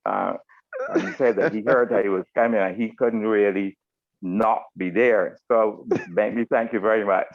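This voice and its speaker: Through a narrow phone-like connection, an older man with a Barbadian accent speaks in a fairly low voice. He offers thanks and small anecdotes in formal, deliberate phrasing, as a retired officer would on a group video call. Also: steady tone. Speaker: retired military officer giving closing remarks. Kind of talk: informal thank-you speech on a group call. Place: online video meeting.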